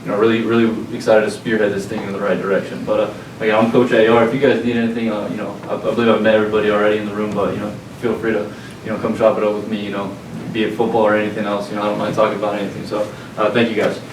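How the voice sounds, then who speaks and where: A man from Southern California, a football offensive coordinator, introducing himself in a relaxed, friendly way. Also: lowish voice, very fast. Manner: relaxed, friendly, loose chat. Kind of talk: self-introduction to a room. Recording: roomy, distant, noisy room recording.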